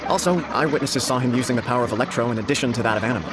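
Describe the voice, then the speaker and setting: A formal, diplomatic young lord from a video game, played by a man. He talks briskly at a middling pitch, with polite phrasing. Loud noise sits behind him. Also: lightly acted. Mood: earnest. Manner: formal, diplomatic, measured wording. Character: courteous, formal young nobleman in government service. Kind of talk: video game character acting.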